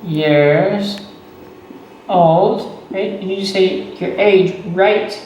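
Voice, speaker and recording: A man, teaching beginner English slowly and patiently, repeating phrases and checking in with "okay" and "right". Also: high voice, darkish tone. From Texas. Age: 30s. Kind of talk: slow, deliberate beginner English lesson. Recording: echoey room, voice far from the mic, faint noise.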